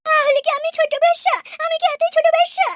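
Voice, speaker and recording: A woman's voice: extremely high pitch, little pitch movement, quick pace. A woman voicing a brash, vain and quarrelsome village woman in a cartoon, insistent and boastful. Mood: boastful, indignant. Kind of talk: cartoon dialogue. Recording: noisy track with clicks.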